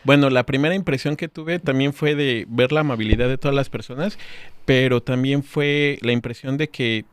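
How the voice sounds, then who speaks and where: A man's voice: mid-range voice, normal speed, clear, resonant tone, no echo. A man, telling his story as a guest in an earnest, searching way. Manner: earnest, restarts words while thinking. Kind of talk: casual conversation.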